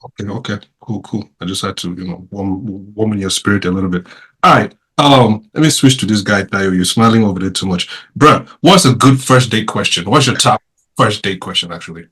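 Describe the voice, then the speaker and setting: A man's voice: fairly low pitch, brisk, a bit bright, husky, low-quality recording. A man hosting a casual podcast panel, teasing his guests with playful questions. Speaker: podcast host.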